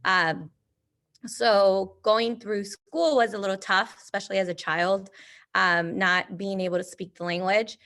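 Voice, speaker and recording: A woman from Argentina, a native Spanish speaker, speaking as a math teacher on a panel in a reflective way with many pauses. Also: medium pitch, fairly even intonation, fairly bright tone. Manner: reflective, many filler ums. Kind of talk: panel discussion.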